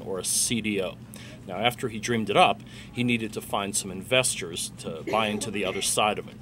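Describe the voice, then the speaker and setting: An American man with a fairly low voice delivers casual commentary like a blogger talking to viewers. His manner is wry and a touch theatrical. Faint outdoor urban noise and traffic sit behind the voice. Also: fairly bright tone. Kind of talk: casual commentary with dramatic read-aloud of emails.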